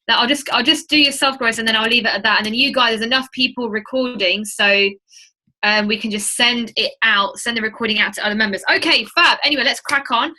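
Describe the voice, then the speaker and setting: A woman's voice: on the high side, a little fast, pushed and bright, rough recording. A woman hosting an informal online training webinar, chatty and emphatic, urging her listeners on as she talks to them directly. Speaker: webinar host and trading mentor.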